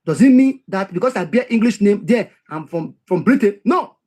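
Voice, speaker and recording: A man with a notably high voice works through a history text. He reads a passage, stops to explain it in plain terms, and says key lines twice to drive them home. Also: animated, moderate pace, darkish tone. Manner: reads quoted passages then explains them, repeats key phrases for emphasis. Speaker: history commentator.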